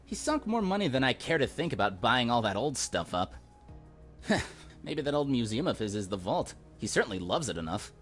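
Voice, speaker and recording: An actor voicing a bitter, guilt-ridden young household employee in a video game, casual and sardonic. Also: male voice, medium pitch, very animated, moderate pace, fairly bright tone, soft background music. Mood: matter-of-fact.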